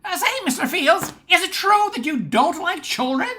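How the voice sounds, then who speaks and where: Woman playing wooden dummy boy, high-pitched, bright, impudent, taunting, radio comedy. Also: animated, normal speed, a little hiss or hum, heavily acted. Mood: cheeky. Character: cheeky wooden dummy boy, quick with insults.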